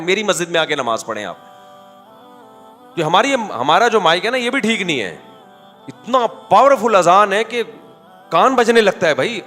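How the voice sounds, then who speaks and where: A man preaching in a conversational, animated way, telling anecdotes with quoted dialogue and rhetorical questions. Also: sits high, big-room sound. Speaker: Islamic preacher. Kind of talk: conversational religious talk.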